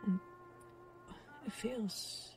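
A woman's voice: extremely slow, over music. An actress playing a blissfully confident woman giving an advert testimonial. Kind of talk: advert testimonial in audio drama.

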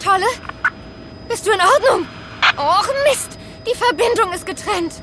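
A woman's voice: very high-pitched, unhurried, heavy background noise. A woman playing an alert, eager young girl adventurer in a children's audio play. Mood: worried. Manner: eager, puzzling aloud.

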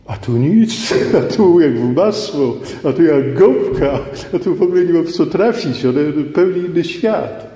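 A man's voice: medium pitch, dark tone. Middle-aged male preacher in echoing church. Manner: conversational, emphatic, repeats phrases for effect. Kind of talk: sermon with personal anecdotes. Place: large church indoors. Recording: echoey church hall with background noise.

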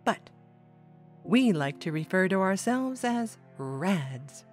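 A woman playing a gentle, composed monster mother, deliberate and coaxing, in an audio drama. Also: mid-range voice, big pitch swings, faint music behind. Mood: composed, explaining.

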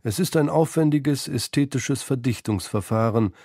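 A mellow male voice narrates a literary radio feature. He reads his script in a measured, sober way, in a dry, close sound at a middle pitch. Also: no room sound.

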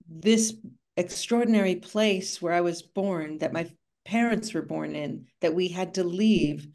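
A woman's voice: lowish voice, darkish tone. A woman in her sixties, born in Cuba, telling her story at length as an author in a video call interview, thoughtful and full of asides. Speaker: author and art conservator. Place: home room with bookshelves.

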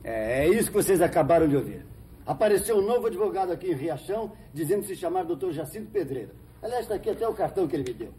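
A Brazilian man playing a gruff, sardonic small-town police chief in a 1970s telenovela. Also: high-pitched, very animated, fast, mellow. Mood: exasperated. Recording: noisy old 1970s TV soundtrack.

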